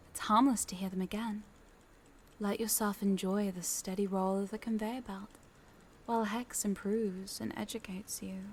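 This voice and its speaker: A woman's voice: medium pitch, slight background noise, natural voice. A woman voicing a scheming, smugly controlling corporate boss in a scripted audio roleplay, coaxing the listener in a condescending, teasing, businesslike way. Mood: persuasive, controlling. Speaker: voice actor.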